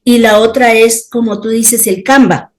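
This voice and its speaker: A woman from Colombia, a church leader speaking on a panel, animated and tagging her phrases with quick checks to the listener. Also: on the high side. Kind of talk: panel discussion.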